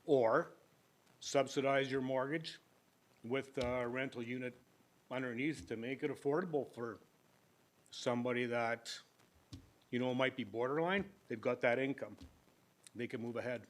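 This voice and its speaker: A man's voice: normal speed, pauses often. An older man presenting an application at a council hearing, speaking plainly into a podium microphone. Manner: plainspoken, booming at times. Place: council chamber.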